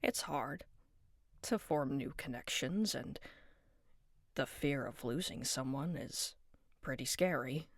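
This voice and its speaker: A woman voicing a gentle, wise older male cartoon chess piece who speaks softly and slowly to console, at times hoarse and nasal. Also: very deep voice, no echo, clean studio sound, pitched below the performer's own voice, heavily acted. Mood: consoling. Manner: soft, slow, consoling. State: sometimes hoarse and nasal from being sick.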